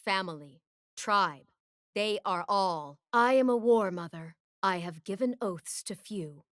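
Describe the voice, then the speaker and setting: Video game warrior queen: young, proud, declamatory, resolute. Medium pitch, studio-dry sound. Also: expressive, measured pace, a bit bright, no echo. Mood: solemn, resolute. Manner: solemn, declamatory. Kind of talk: video game character voice lines.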